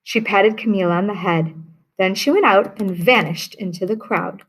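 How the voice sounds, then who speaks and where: A woman reading a children's picture book aloud in a lively, expressive way. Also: medium pitch, normal speed, husky, poor audio. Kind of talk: read-aloud storybook narration.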